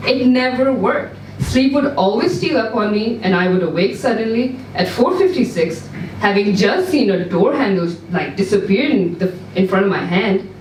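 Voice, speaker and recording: A woman giving a live dramatic reading of a short story, with measured, suspenseful delivery. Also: medium pitch, animated, a little mellow. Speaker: story reader. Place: indoor event room, live reading. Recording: roomy, distant mic, background noise, live venue.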